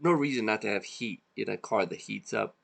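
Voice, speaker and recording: A man born in Serbia, co-hosting a podcast in a relaxed, casual chat, swearing freely and trailing off mid-thought. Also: lowish voice, a little fast, vocal fry. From Serbia (former Yugoslavia). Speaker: podcast co-host.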